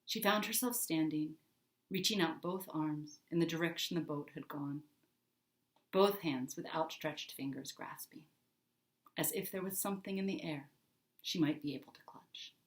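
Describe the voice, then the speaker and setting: Female storyteller, expressive children's book reading, lowish pitch, clearly roomy sound. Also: normal speed. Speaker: author reading own book. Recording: roomy, echoing indoor sound.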